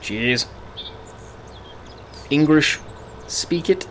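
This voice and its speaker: A man with a slightly croaky voice giving rambling, chatty gameplay commentary, often correcting himself mid-sentence. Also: a little high, lively intonation, extremely slow, a bit bright, slight background noise. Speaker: gaming video host.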